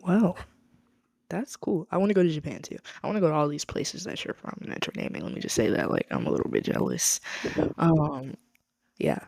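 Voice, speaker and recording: A podcast host from the United States talks in a loose, slangy, upbeat way, her voice catching in a gravelly fry at the ends of phrases. The sound is dry and close, with no room around it. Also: deep voice, huge pitch swings, fairly quick, a little mellow, no echo. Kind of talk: casual interview chat.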